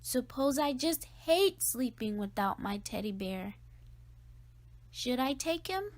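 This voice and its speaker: Lady reading a kids book out loud, really high voice, takes it slow, expressive. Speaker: storybook reader. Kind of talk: scripted children's read-aloud narration.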